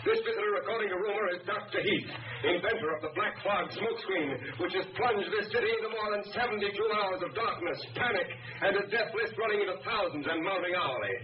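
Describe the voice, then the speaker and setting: An actor playing a formal, solemn radio announcer reading an urgent 1930s news bulletin. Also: male voice, high-pitched, quick pace, reverberant, far from the mic, natural voice. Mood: grave, urgent. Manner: formal, emphatic. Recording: dull, noisy 1930s radio recording.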